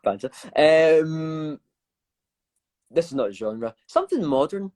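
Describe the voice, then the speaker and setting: A man's voice: a little high, animated, slow, a little mellow. A young man with a Glaswegian Scottish accent, chatting casually and laughing often as he answers fan questions. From Scotland. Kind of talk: casual chat.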